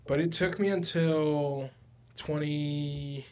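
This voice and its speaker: A man's voice: on the high side, steady tone, slow pace, darkish tone. A young man co-hosting a podcast, chatting casually about music with slangy, informal phrasing and some swearing. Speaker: podcast co-host.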